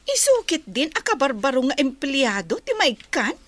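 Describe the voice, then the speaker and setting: A woman's voice: high voice, big pitch swings, normal speed. An actress with an Ilocano Filipino accent playing a nosy, envious female office worker in a radio drama. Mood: envious, indignant.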